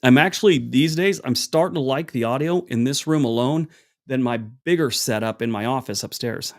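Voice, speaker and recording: A man with a deep voice hosting a casual livestream, answering chat questions in a rambling, chatty way with many restarts. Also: normal speed. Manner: acts out both sides of an anecdote with raised pitch. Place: room at home. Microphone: small wireless clip mic.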